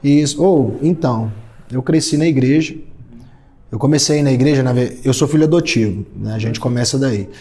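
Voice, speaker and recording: A man in his thirties from São Paulo state, Brazil, chatting as a guest and telling stories from his youth. His voice is mellow and dark. His pitch sits in the middle. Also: moderate pace. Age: 30s. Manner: reminiscing, relaxed storytelling. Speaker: guest singer. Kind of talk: casual chat.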